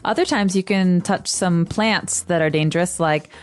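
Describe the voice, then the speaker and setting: A woman's voice: on the low side, moderate pace, smooth, soft background music. A woman co-hosting an English-learning podcast, patiently explaining vocabulary in casual chat with examples. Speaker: podcast co-host, English teacher.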